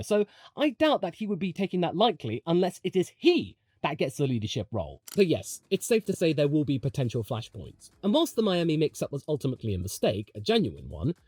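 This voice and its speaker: Guy doing opinionated racing video essay, slightly high voice, really swoopy and emphatic, dry close sound. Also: a little mellow, no echo. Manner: emphatic, rhetorical asides and questions. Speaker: motorsport commentary presenter. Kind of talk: opinionated video essay commentary, part scripted, part conversational.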